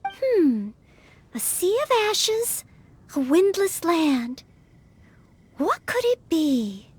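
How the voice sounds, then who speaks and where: An actress playing an earnest, studious, polite young maid-knight in a video game, speaking with careful courtesy. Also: female voice, very high voice, big pitch swings, very slow, exaggerated voice. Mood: puzzled. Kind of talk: video game character acting.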